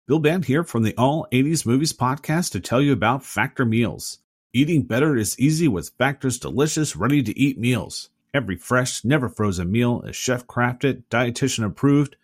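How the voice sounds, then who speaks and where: A man's voice: medium pitch, lively intonation, normal speed, husky, no echo, studio quality. A man who hosts a podcast, reading a scripted advertisement. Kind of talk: scripted ad read.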